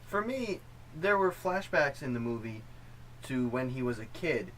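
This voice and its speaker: A man's voice: medium pitch, very animated, a little slow, fairly bright tone. A man co-hosting a film review show in a casual, conversational style. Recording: faint room noise.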